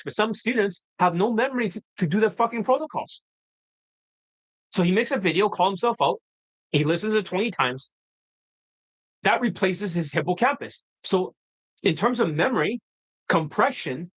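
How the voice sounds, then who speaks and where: A man's voice: sits high, fairly bright tone. A man coaching on dating in a livestream monologue, blunt and lecturing, swearing casually.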